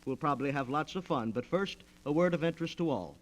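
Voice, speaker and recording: A man's voice: on the high side, lively intonation, fast talker, poor audio. A man hosting a 1940s radio program, making formal, polished introductions on a vintage transcription disc. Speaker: radio host introducing guests. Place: radio studio, around a table.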